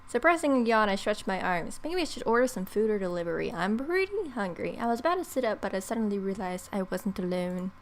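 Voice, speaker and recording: A young woman hosts a let's play, reading story text aloud at a middling pitch and slipping in casual asides. She is close to a desk mic in a bedroom, with some faint noise behind her. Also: very animated, brisk, hardly any pauses. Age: young adult. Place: small bedroom, indoors. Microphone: desk microphone up close, gaming headset on. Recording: clear background noise.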